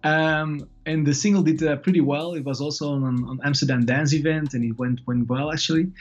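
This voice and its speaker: A man from Belgium, a DJ guest, answering interview questions in a friendly, relaxed, somewhat hesitant way. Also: a little high, fairly quick, dull audio, slight background noise. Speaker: DJ and producer guest.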